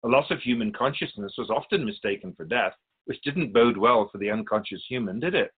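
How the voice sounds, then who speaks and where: A man playing a patient, all-knowing keeper of souls in a radio drama, explaining things in a measured, gently wry way. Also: on the low side, lively intonation, slightly rough, phone-quality sound. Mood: wise, gently wry. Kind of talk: scripted radio drama dialogue.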